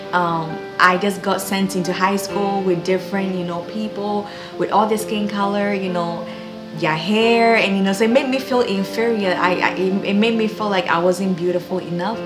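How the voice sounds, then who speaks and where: Young woman, Ghanaian accent, earnest testimony, roomy echo, music underneath. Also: mid-range voice. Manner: earnest, encouraging. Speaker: vlogger giving a testimony. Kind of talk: personal faith testimony. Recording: echoey room with background music.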